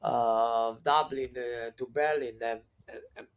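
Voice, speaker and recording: A man in his forties with an Italian accent, talking as a podcast guest in a relaxed, conversational way. His pitch sits in an ordinary middle range while he fills his sentences with hesitations and restarts, and he brightens up when excited. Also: takes it slowly, smooth. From Italy. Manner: hesitant, many fillers and restarts; upbeat and animated when excited. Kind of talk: conversational interview storytelling.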